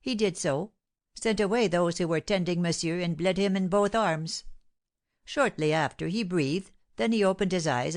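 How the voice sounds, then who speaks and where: A woman's voice: fairly low pitch, close to an everyday voice. A woman reading aloud voices a sly, boastful male servant who drags out his story. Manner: dramatic, drawn-out storytelling.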